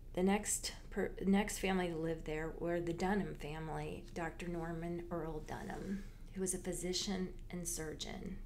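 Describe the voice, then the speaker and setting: A woman who works as a library genealogist, walking listeners through a slideshow presentation in an unhurried, informative way with frequent fillers and restarts. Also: on the low side, a little mellow, slight background noise.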